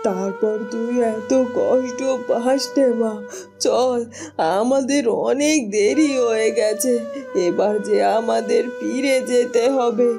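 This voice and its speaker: Woman playing a slow, gentle mother bird. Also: on the high side, creaky, music in the background. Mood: tender, reassuring. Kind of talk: dramatic cartoon storytelling.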